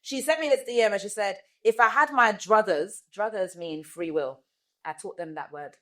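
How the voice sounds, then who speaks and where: Woman, podcast host, playful, teasing, casual comedy chat, mid pitch. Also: a bit bright. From Ghana. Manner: playful, teasing, drawn-out words. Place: studio podcast.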